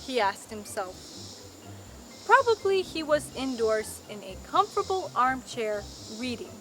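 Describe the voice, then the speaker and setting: Slow, high-pitched woman reading bedtime stories. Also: sing-song, a bit bright, faint music behind. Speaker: children's storyteller. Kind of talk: scripted bedtime story narration.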